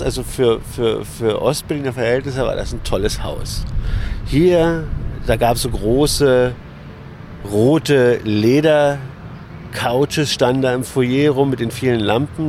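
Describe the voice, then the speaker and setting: Man, mid-pitched voice, candid casual interviewee, searching for words, faint outdoor urban noise. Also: a little mellow. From Berlin, Germany. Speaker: interview guest. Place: outdoors on a large urban lawn. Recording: noisy outdoor recording with traffic ambience.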